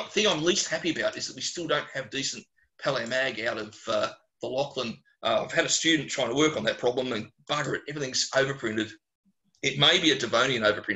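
An Australian man, a geologist answering questions informally on a webinar, speaking bluntly and colloquially with animated digressions. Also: medium pitch, low-quality recording. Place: small closed room at home or office, on a video call.

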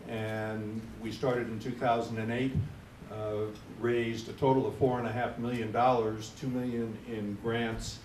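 A man on a conference panel explaining his fund's work in a measured, explanatory way. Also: little pitch movement, a little mellow. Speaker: panelist, nonprofit fund representative. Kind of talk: unscripted panel talk. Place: conference room. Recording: echoey room, distant mic, faint rumble.

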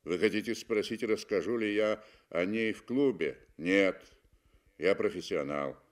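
A man's voice: on the low side, a little slow, lightly acted. A man voicing a cool, curt British male safari hunter in a radio reading, blunt, clipped and assured. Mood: coldly firm.

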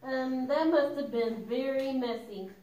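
A woman's voice: sits high, unhurried, dark tone, dull audio. A woman voicing a sensible young girl puppet in a children's church show, earnest, hesitant and a little dramatic. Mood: friendly. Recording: echoey room, distant mic.

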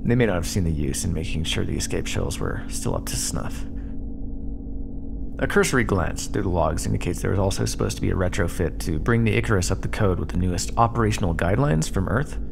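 A man voicing a stranded, sarcastic starship commander who swears often and turns gentle when comforting someone, in an ASMR roleplay. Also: fairly low pitch, vocal fry, noisy background. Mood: weary. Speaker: voice actor in an audio roleplay. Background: music.

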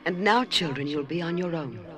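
A performer playing a kindly, motherly old celestial guardian in an audio drama, gently explaining and reassuring. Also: mid-range voice, expressive, moderate pace, natural voice. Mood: tender, grave. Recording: music under the voice.

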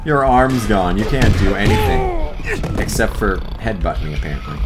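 Man commentating a game, lowish voice, swears and rants, loud game music behind. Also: wildly swooping pitch, moderate pace. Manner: exasperated, swearing, yelling at the game. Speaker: let's play commentator.

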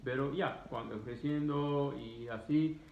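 A man in his thirties with an Egyptian accent, a native Arabic speaker, talking casually to camera in emphatic, halting, repetitive phrases. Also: mid-range voice, slight background noise. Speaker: vlogger answering students' questions. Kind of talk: casual explanatory talk to camera. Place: living room, indoors. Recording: roomy echo, dull muffled sound.

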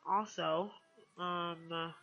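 A woman with a bright, slightly low-set voice talks through a casual screen-recorded tutorial. She rambles and hesitates, correcting herself as she goes, with some music playing behind her. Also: fairly even intonation, slow, creaky, dull audio. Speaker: tutorial host.

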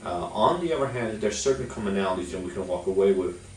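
A young man speaks in a low, deep voice, pausing often on "uh" as he explains things slowly. He sits in an office, recorded from a little way off, so the room adds a clear echo. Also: expressive, darkish tone. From Poland. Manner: frequent "uh" fillers, measured delivery. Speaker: chamber of commerce executive. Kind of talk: instructional talk to camera. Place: office with logo backdrop. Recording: echoey room, mic at a distance.

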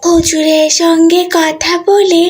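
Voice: extremely high pitch, level tone, takes it slowly, darkish tone, faint music behind. A performer voicing a sweet, curious little girl in a cartoon, pleading and inquisitive. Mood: eager.

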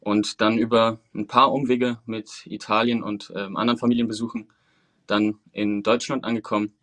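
A man's voice: on the low side, little pitch movement, normal speed, fairly bright tone. A man in his twenties, from Venezuela and raised in Germany, answering as an actor guest over a video call, thoughtful and articulate with hesitant fillers. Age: 20s.

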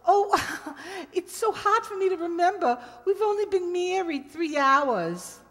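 Very high-pitched, gushing newlywed tourist. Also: female voice, animated, a little slow, exaggerated voice. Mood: sheepish. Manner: gushing, wide-eyed. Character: excitable, dim newlywed female tourist. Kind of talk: old-time radio comedy acting. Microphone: stand microphone. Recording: echoey hall stage performance.

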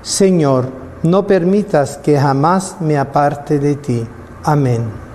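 A middle-aged man, a priest, slowly and solemnly reciting the prayers that close a Mass in a small chapel. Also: fairly high pitch, a little mellow, long reverb tail, a little hiss or hum. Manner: slow, solemn liturgical recitation.

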